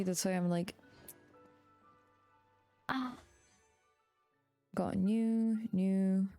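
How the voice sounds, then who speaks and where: A woman's voice: mid-range voice, mellow. A woman chatting casually on a live game stream, informal and slangy with some swearing. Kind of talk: casual gaming stream chat with viewers.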